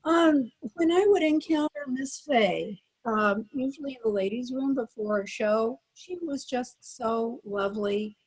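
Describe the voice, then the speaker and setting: A woman's voice: on the high side, a little slow, few pauses, slightly rough, rough recording. An older woman, a panel guest, casually reminiscing about her past. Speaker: panel guest, former child radio performer.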